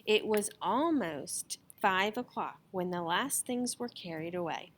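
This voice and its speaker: A woman reading a children's story aloud as an expressive storyteller. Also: mid-range voice, a little slow, background noise.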